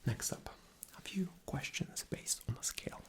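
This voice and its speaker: A man's voice: normal speed, fairly bright tone, natural voice. A man doing an ASMR roleplay as an arrogant, impatient, rude boss, with a condescending, demanding manner. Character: arrogant, impatient, rude male boss interviewing a job applicant.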